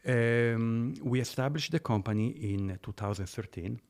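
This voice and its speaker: A man's voice: mid-range voice, moderate pace, darkish tone. A middle-aged man from Italy with an Italian accent, speaking as an interview guest about his family business in earnest, slightly non-native phrasing. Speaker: guest, gelato business owner. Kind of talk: interview conversation.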